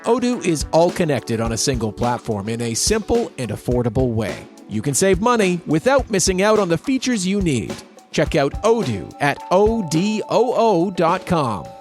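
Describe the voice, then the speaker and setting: A man's voice: on the high side, sing-song, normal speed. A man announcer delivering a scripted advertisement. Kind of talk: scripted ad read. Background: light music bed.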